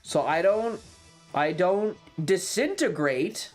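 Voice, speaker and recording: Casting: streamer with a medium-pitched voice, casual and profane, emphatic dramatic storytelling for a chat audience, music underneath. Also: animated, slow pace. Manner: emphatic, repeats phrases for drama; casual, swearing. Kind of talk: animated storytelling to a livestream chat about a tabletop game.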